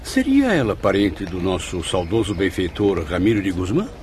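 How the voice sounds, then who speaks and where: A man's voice: sits low, moderate pace, a little hiss or hum. An actor playing an earnest, courteous male spirit who recalls the afterlife in a radio drama. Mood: wistful. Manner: formal, courtly, expressive wording. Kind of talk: radio drama acting and narration.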